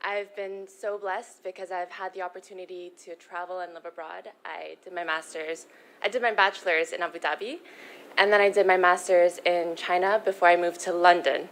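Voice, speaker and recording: A young woman from the Philippines, a pageant contestant answering interview questions in a poised, earnest, measured way. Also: fairly low pitch, steady tone, fairly bright tone, poor audio. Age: young adult.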